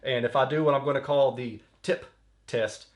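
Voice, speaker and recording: A man with a mid-pitched voice hosts an audio gear review. He talks casually and conversationally, explaining technical points plainly as he goes. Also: fairly even intonation, normal speed. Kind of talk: casual explanatory product commentary.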